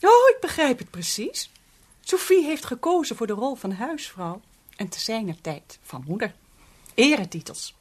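An actress playing a prim, self-satisfied middle-aged housewife in a radio play, chatty and gushing. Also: female voice, fairly high pitch, very animated, normal speed, husky. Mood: smugly proud.

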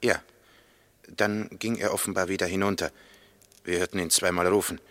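A no-nonsense engineer on the witness stand, old 60s radio play, really deep, crisp bright tone. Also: male voice, steady tone, moderate pace, no room sound. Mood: sober, factual. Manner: sober, concise, factual. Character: matter-of-fact male engineer, neighbour testifying as witness. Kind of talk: 1960s radio drama acting.

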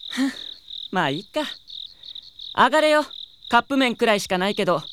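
A woman's voice: mid-range voice, big pitch swings, a little fast, fairly bright tone, a little hiss or hum. A woman voicing a proud, haughty boy who dreams of being an idol, in a Japanese audio drama with curt boyish speech. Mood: gruff, softened. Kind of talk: Japanese anime-style audio drama acting.

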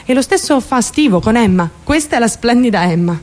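An actress playing a naive, lovestruck and traumatized young woman in an intimate, halting radio drama monologue. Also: a little high, expressive, darkish tone, lightly acted. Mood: wistful, naive. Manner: intimate, halting, childlike self-questioning. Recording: music behind the voice. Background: music.

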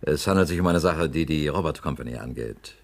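A man playing a scheming politician in a radio drama, smooth and insinuating. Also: a bass voice, fairly quick. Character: slick scheming male politician, smooth and sly.

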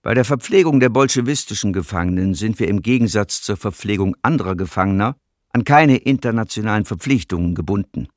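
An actor playing a cold Nazi SS commander, stating orders in a clipped, bureaucratic manner in a radio documentary drama. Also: male voice, lowish voice, lively intonation, fairly quick, no echo, studio quality, close to an everyday voice. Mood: cold, official.

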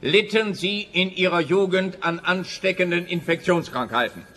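An actor playing an officious, pedantic, exasperated police officer in a comic radio play, blustering. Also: male voice, high-pitched, moderate pace, sharp tone. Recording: roomy long reverb, faint background noise.

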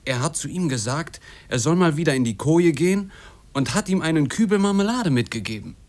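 A man's voice: a little high, animated, a little fast, a little mellow, lightly acted. A man acting an emotional, blunt and protective sailor in a radio drama. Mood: insistent. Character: hot-headed, loyal, protective sailor, male.

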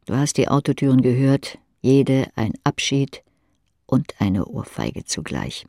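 A woman's voice: rumbling low, big pitch swings, unhurried, mellow, no room sound, studio quality. A woman reading scripted literary narration in the second person for an audio drama.

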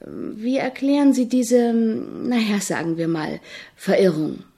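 An actress playing a persistent, highbrow woman music journalist with formal, wordy questioning in a radio play. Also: slow pace, dark tone. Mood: insistent, pedantic.

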